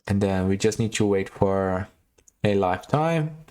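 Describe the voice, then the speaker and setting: A man's voice: on the low side, animated, a little slow, a little mellow. A young man from Brazil with a Brazilian Portuguese accent, casually walking through a coding tutorial with frequent fillers and restarts. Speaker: tutorial host.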